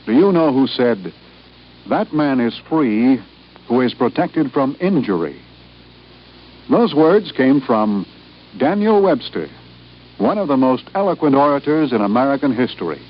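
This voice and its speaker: Solemn, expressive male radio announcer. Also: medium pitch, measured pace, long pauses. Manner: solemn, oratorical. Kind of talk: scripted 1950s patriotic radio announcement. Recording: muffled old radio recording, faint noise.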